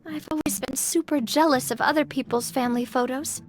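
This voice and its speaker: Woman voicing a young female gang president, high voice, sincere, self-conscious, soft game music behind. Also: steady tone, brisk, close to an everyday voice. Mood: touched. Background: game soundtrack music.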